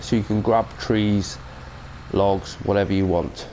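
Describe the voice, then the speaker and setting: British guy full of cold, rambly game mod review, lowish voice, soft faint hiss behind him. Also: steady tone, unhurried, low-quality recording. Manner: chatty, rambling, self-correcting. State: has a cold. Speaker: gaming channel host. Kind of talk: casual mod review commentary.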